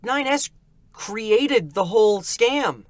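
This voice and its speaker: A man's voice: sits high, lively intonation, slow pace. A man who works as a voice actor, chatting casually on a question-and-answer livestream panel.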